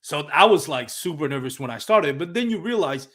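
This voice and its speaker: A man's voice: on the high side, animated, brisk, a bit bright. Puerto Rican-accented man hosting a podcast. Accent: Puerto Rican Spanish. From Puerto Rico. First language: Spanish. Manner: reflective, searching for words. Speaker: podcast host. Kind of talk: casual live podcast interview.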